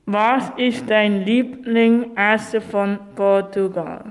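An interviewer asking simple questions in a slow, deliberate way. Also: medium pitch, fairly even intonation. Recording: long reverb.

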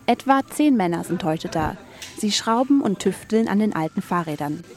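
A woman's voice: mid-range voice, lively intonation, moderate pace, a little mellow, soft background music. Woman reading radio news. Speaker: radio reporter. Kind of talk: scripted news narration.

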